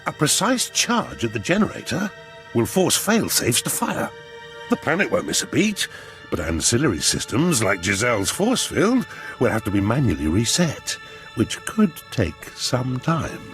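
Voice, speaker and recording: Game villain casting: smug duke, pompous, wildly swooping delivery, stretched key words, music underneath. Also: male voice, medium pitch, wildly swooping pitch, fairly bright tone, full character voice. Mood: scheming, smug. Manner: pompous, drawn-out emphasis. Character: scheming, smug, theatrical aristocratic duke. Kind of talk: video game character acting.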